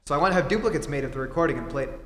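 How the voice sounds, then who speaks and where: Earnest, persistent reporter played by a man, higher-pitched male voice, vintage radio drama, roomy hall. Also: very fast, fairly bright tone, natural voice. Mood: eager. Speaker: amateur theatre actor. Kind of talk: stage re-enactment of 1940s radio acting. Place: theatre stage, live performance. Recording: echoey hall, faint background noise.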